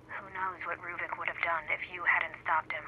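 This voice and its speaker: A woman's voice: on the low side, level tone, normal speed. Tinny radio voice, composed female agent. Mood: pragmatic. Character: composed, loyal, pragmatic female agent handler. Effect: radio communicator filter. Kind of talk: video game cutscene acting. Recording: tinny radio link, faint noise.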